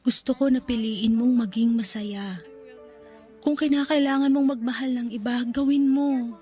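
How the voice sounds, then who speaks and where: Actress as ailing young sweetheart, highish voice, tender and earnest, resigned, radio romance drama, music underneath. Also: female voice, fairly even intonation, normal speed, dark tone, lightly acted. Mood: resigned, pleading. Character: gravely ill young woman, loving, resigned to dying.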